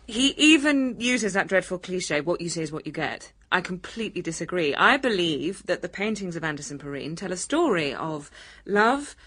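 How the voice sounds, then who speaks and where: Radio play: young female academic, lowish pitch, earnest, articulate, combative, argues her case with emphasis. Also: expressive, moderate pace, fairly bright tone. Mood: earnest, emphatic. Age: young adult. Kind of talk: scripted radio drama studio debate.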